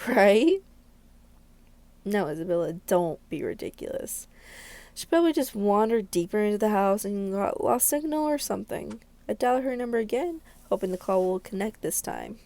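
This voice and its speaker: Mellow woman reading a visual novel aloud. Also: mid-range voice, animated, normal speed. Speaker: gaming video creator reading a visual novel. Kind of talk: dramatic read-aloud narration with casual asides.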